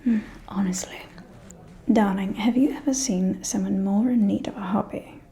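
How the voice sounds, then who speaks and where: A woman playing a gossiping, catty aristocratic vampire lady in a whispered ASMR roleplay, with a breathy, confiding, arch delivery. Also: medium pitch, warm tone, natural voice. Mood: mocking, disdainful. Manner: slow, confiding, arch, drawling.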